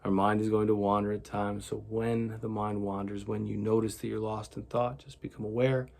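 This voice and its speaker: A man leading a guided meditation in a gentle, soothing, deliberate way, with a gravelly, husky voice. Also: fairly low pitch, level tone.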